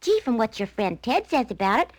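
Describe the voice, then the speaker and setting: A woman's voice: on the high side, sing-song, a little fast. An actress playing a naive, caring young secretary in 1940s radio comedy style, earnest and girlish. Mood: perky, coaxing. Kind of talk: 1940s radio comic acting.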